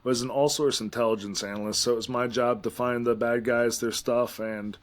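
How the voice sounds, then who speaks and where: A veteran appears as a podcast guest with a middle-pitched voice. He talks in a dry, wry way. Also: steady tone, fairly quick. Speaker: guest, veteran and political commentator. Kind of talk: casual podcast interview.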